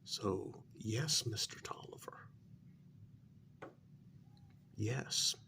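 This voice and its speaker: A man playing a polite, hesitant working man placating his boss in a soft, partly whispered ASMR role-play, halting and deferential. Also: lowish voice. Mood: careful, explaining. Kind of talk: soft-spoken ASMR role-play, one side of a conversation.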